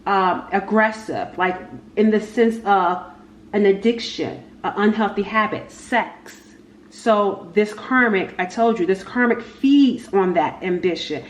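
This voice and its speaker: A woman giving a casual, unscripted tarot reading, speaking haltingly and repeating her phrases as she goes. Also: medium pitch. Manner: halting, repeats phrases, talks to spirit and cards. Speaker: tarot reader, channel host. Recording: echoey room with faint background noise.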